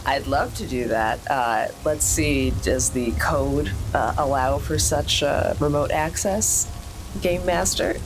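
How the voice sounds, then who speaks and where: A man's voice: fairly high pitch, slow pace, vocal fry, background noise. A man playing a tabletop roleplaying game, chatting casually as a player.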